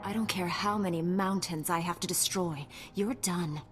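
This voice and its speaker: A woman's voice: lowish voice, normal speed, in a large hall, faint music behind, natural voice. A woman playing a firm, righteous young female knight in a sci-fi video game cutscene. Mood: stern, resolute.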